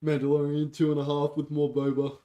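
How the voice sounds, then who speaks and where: A man's voice: on the high side, monotone, fairly quick, highs cut off. A man co-hosting a podcast in casual banter, with loose, slangy phrasing. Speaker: podcast co-host.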